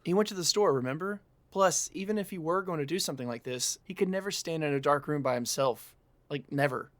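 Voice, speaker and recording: A man's voice: on the high side, brisk, fairly bright tone, no room sound, close to an everyday voice. A young man acting as a stubborn game master in a naturalistic audio drama. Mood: uneasy, insistent.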